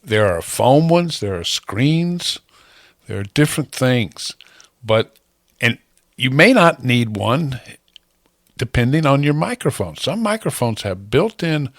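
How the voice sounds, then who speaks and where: An American man narrating an instructional hobby slideshow in a plainspoken, folksy, deliberate way, close on a broadcast-style microphone. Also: medium pitch, very animated, dry recording. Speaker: amateur radio hobbyist presenter.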